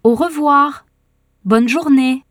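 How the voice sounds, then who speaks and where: A woman voicing a cheerful young female musician in a slow language-course dialogue. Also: high-pitched, no room sound, studio quality. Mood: friendly. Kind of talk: slow scripted textbook dialogue.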